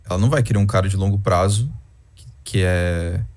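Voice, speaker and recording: Guy thinking out loud, lots of fillers, lowish voice. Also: fairly quick. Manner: hesitant, reasoning aloud with fillers. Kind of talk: casual discussion.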